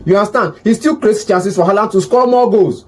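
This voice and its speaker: Pundit type, husky and hoarse man, high-ish voice, pushes his point again and again. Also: brisk, a little mellow. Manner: argumentative, repeats words while building a point. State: hoarse, says he has lost his voice. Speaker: football pundit. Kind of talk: heated debate.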